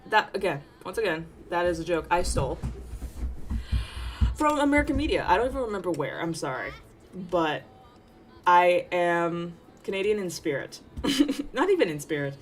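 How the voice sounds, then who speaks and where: A young woman with a low voice chats through a casual livestream, wandering off on tangents and catching herself mid-thought. Soft music plays quietly behind her. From Taiwan. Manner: rambling, chatty, self-interrupting with tangents and laughs. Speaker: VTuber streamer. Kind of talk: casual livestream chat while working. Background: faint background music.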